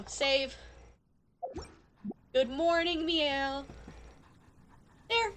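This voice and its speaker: High-voiced chatty woman streamer over music. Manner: chatty, playful, addressing viewers directly. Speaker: live game streamer. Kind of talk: casual chat to stream viewers during gameplay. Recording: background music behind voice.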